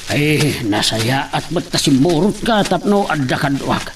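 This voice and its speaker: A man's voice: a little high, big pitch swings, measured pace, background noise. A man with an Ilocano accent playing a middle-aged rural father in a radio drama. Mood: patient, chatty. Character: rural Ilocano father talking with his young daughter.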